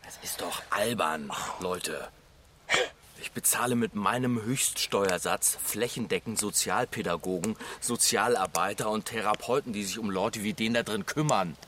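A man's voice: fairly low pitch, normal speed, noisy background, natural voice. An actor in a radio drama playing a stressed, well-off brother in his forties, accusing, sarcastic and self-righteous. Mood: indignant, sarcastic. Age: 40s.

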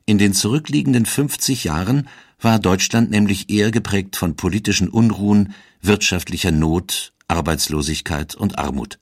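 A man narrating a scripted historical documentary in a measured storytelling manner. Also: sits low, husky, dry recording, studio quality.

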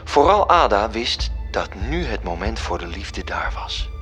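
Heavenly plotter narrating a radio play, confident n literary, very expressive, music behind. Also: male voice, fairly low pitch, a little slow. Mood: assured, knowing. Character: scheming celestial male storyteller, confident and knowing. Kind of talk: scripted radio drama narration.

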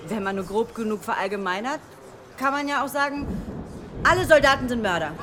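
An actress playing a bold, sardonic young student who speaks up quickly and pointedly in a radio drama. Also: female voice, on the high side, lively intonation, bright, forceful tone. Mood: challenging, sardonic. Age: young adult. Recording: lecture room with faint crowd, noise.

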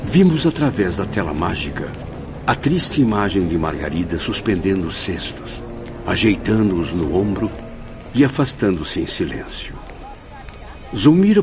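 A man narrating a radio drama in a solemn, storytelling manner. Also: sits low.

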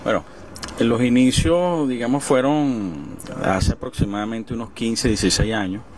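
A man in his forties with a Venezuelan accent, casually recalling things in an interview, halting and repeating words. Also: mid-range voice, a crowd in the background. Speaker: bookseller being interviewed.

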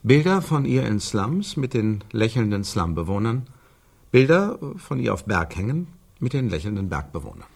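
Husky, low-voiced insistent commentator. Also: male voice, sing-song, normal speed, a little mellow, heavily acted. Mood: emphatic. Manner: emphatic, repetitive phrasing. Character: male commentator picturing a woman's life, brisk and insistent. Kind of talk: German radio play, stylised dialogue.

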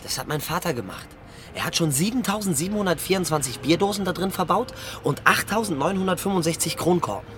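A man's voice: high voice, lively intonation, quick pace, over music. An actor playing an earnest, helpful young man in a German radio drama, casual and eager to explain. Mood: eagerly explaining.

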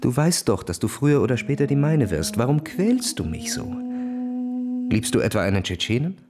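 A man's voice: medium pitch, sing-song, normal speed, natural voice. A young officer speaks with cocky, calculating persuasion, acted by a man. His mid-range voice swings expressively in radio-play style, with music strong behind it. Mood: tender, pleading. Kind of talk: radio drama acting.

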